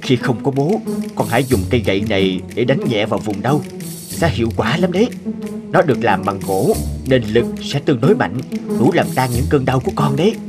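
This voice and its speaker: A man voicing a devoted, determined inventor father in an animated cartoon, speaking earnestly and expressively. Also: medium pitch, a little fast, lightly acted. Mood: encouraging. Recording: music behind the voice.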